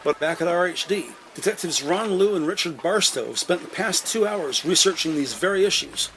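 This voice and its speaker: A man giving measured, descriptive present-tense narration for a scripted drama. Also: high voice, animated, fairly bright tone, slight background noise, poor audio.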